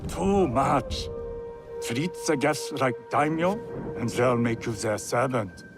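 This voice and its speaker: An actor playing a gentle, wise elderly male Japanese tea master in a video game, courteous and philosophical as he teaches. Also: mid-range voice, animated, slow pace, music in the background. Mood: instructive, calm. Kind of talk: video game cutscene acting.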